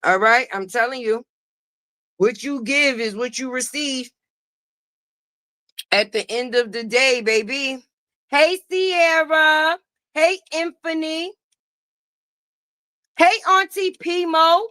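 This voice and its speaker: Loud sassy high-voiced woman streamer. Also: slow, long pauses, no echo. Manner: sassy, loud, greets viewers by name. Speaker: live stream host. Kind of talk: casual gossip commentary.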